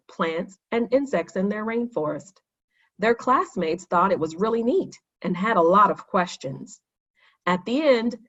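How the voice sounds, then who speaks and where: A woman narrating a children's story in an expressive read-aloud manner. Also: medium pitch, dull audio. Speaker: storybook reader.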